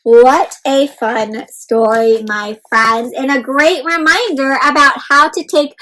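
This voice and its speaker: Woman, high voice, children's read-aloud host, animated storytelling delivery, interactive, talks straight to kids. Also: low-quality recording. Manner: animated, expressive, addressing children directly with tag questions.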